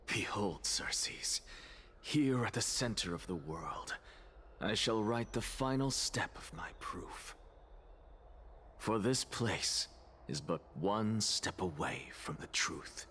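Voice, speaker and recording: A proud, paranoid scholar for a video game, low in pitch and slow in pace. He is pompous and defiant, with theatrical sweeps in his delivery over faint music. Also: lively intonation, bright, forceful tone, a little hiss or hum, full character voice. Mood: grand, composed. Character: arrogant, paranoid male scholar, honest, theatrical, defiant loner. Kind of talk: dramatic video game voice acting.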